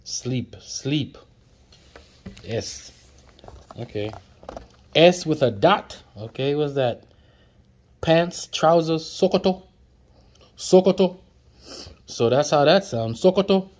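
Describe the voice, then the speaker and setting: A man's voice: fairly high pitch, animated, slow pace, pauses often, a little mellow. Playful man running through flashcards. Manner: playful, repeats words, jokes with viewers. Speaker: vlogger teaching words. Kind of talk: casual flashcard lesson. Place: indoors at a table, off camera.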